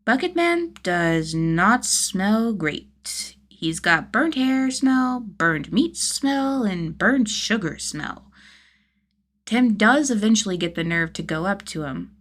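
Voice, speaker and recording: A woman reading a story as narrator, in expressive scripted narration. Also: medium pitch, takes it slowly. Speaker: podfic narrator.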